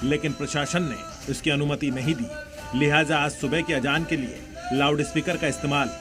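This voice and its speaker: A man's voice: fairly high pitch, steady tone, normal speed. A man reading a scripted, dramatic news voice-over. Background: loud music.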